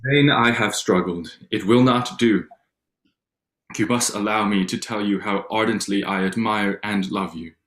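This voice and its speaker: A man acts out a proud, wealthy young gentleman of Regency drama. The voice is low and rings in an echoey room. He speaks stiffly and formally, haltingly, like an awkward suitor. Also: steady tone. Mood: ardent, strained. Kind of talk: staged reading of a period drama. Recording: echoey room over online call.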